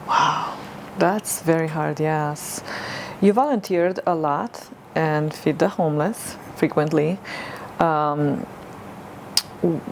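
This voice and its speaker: A woman's voice: low voice, takes it slowly, a little hiss or hum, poor audio. Romanian-accented woman hosting an interview. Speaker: podcast host. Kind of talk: interview questions.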